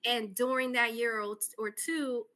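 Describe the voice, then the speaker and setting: A woman's voice: on the high side, steady tone, normal speed, pushed and bright. A woman from New Jersey who speaks English as a second language, talking casually and reflectively as an interview guest. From Camden, New Jersey, USA. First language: not English, English is second language. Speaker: interview guest, author and social worker.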